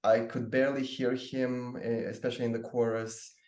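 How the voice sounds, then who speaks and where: A man from Moldova, a native Romanian speaker, chatting casually as a panelist and pausing often with fillers. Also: medium pitch, flat delivery, darkish tone, reverberant. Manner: hesitant, many fillers.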